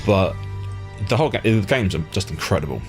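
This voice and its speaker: A man's voice: sits low, lively intonation, brisk, a little mellow, soft background music. A man hosting an interview, chatting informally and bluntly about his opinions. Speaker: podcast host, interviewer. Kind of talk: casual opinionated chat.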